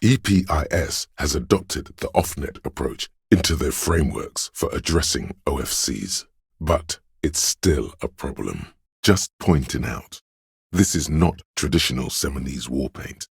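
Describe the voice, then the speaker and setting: An actor playing a pedantic encyclopedic inner voice in a video game, a man reciting obscure facts in a measured, erudite, matter-of-fact way. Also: animated, no room sound, clean studio sound, full character voice. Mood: informative, dry. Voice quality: very deep, gravelly, husky. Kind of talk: scripted game narration of trivia and facts.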